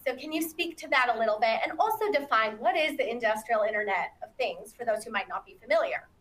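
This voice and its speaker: A woman's voice: a little high, expressive, fast, fairly bright tone. A woman moderating a panel in a factory studio, summing up answers and reading audience questions. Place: bright open factory studio set.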